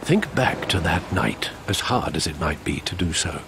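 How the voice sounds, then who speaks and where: An actor playing an elderly, loyal butler in a video game, speaking in a measured, formal, gently questioning manner. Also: male voice, low-pitched, lively intonation, vocal fry, noisy background. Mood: gentle, probing. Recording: loud music behind the voice.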